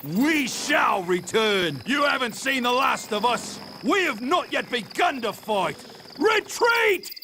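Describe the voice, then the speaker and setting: Very high-pitched pompous wasp commander. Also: male voice, very animated, takes it slowly, pauses often, heavily acted. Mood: bombastic, defiant. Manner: pompous, bombastic. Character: blustering, pompous male wasp gang commander, mock-heroic. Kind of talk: animated video game acting.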